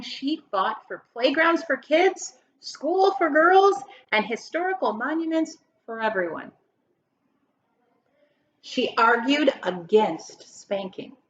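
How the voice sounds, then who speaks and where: A woman with a fairly high voice reads a picture book to children. Her delivery is expressive and emphatic, leaning on key words and turning to her listeners as a teacher would. The recording is dull and lo-fi, with some noise underneath. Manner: emphatic, dramatic phrasing with rhetorical questions to listeners. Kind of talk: expressive children's story read-aloud.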